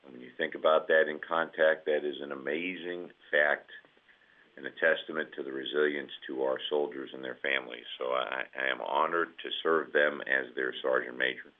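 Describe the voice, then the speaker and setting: Very deep-voiced man with a cold. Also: fairly even intonation. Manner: measured, with many "uh" pauses and repeats. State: has a cold, scratchy and nasal. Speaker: senior army enlisted leader, guest. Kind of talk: conference call remarks. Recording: tinny phone line.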